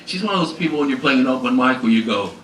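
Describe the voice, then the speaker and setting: A man's voice: mid-range voice, expressive, rapid-fire. A man hosting a classical evening and introducing a guest singer, rambling and hesitant with many fillers. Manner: rambling, hesitant, warm banter. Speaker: host and pianist. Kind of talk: casual onstage introduction. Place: small salon performance venue. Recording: roomy, distant, noisy live room.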